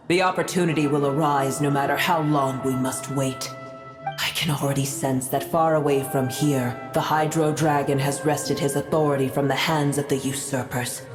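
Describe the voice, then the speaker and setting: A cold, haughty ancient woman from a fantasy game, voiced by an actress. The voice is low and echoing, with formal, measured phrasing and clear music behind it. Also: steady tone, close to an everyday voice. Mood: brooding, grave. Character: proud, cold, ancient female being with a grudge.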